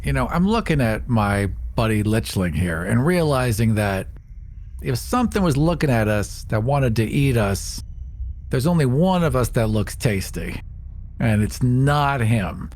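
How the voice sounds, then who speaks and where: A man's voice: mid-range voice, sing-song, a little slow, a little mellow. A man chatting casually and wryly as a player in a tabletop game. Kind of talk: casual chat.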